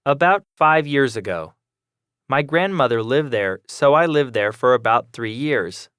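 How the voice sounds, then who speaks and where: A man's voice: medium pitch, expressive, measured pace, fairly bright tone, clean tone, dry recording, clean studio sound. A man playing an eager, polite student who asks plain questions in a scripted classroom dialogue. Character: young adult male student, eager, polite.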